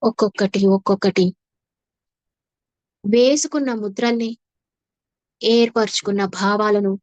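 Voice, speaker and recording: A woman leading a guided meditation as a teacher, soothing and unhurried, with long pauses and repeated phrases. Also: mid-range voice, a little mellow, no echo, rough recording. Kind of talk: guided meditation, slow instructive narration.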